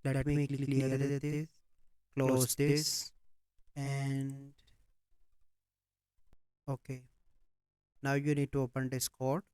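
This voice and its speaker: Slow-paced man narrating a software tutorial. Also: medium pitch, monotone, clear, resonant tone, dry recording. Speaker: tutorial host.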